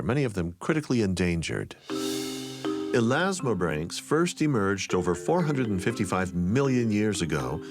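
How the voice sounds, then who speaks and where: A man hosting a podcast, narrating scripted stories in a wry, expressive way. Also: fairly low pitch. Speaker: podcast host.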